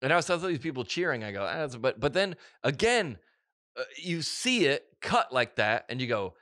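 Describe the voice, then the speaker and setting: A man's voice: medium pitch, very animated, brisk, pushed and bright, no room sound. A man in his thirties hosting a casual podcast chat in a small studio, rambling with restarts and acting out voices as he tells stories. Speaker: podcast host. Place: small studio with dark curtains. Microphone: studio mic on desk stand, headphones.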